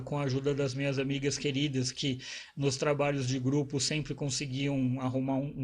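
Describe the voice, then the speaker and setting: A man, a psychologist talking casually to camera about his own life, in a conversational, rambling way with restarts and tag questions. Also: medium pitch. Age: 40s. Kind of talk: casual personal talk to camera.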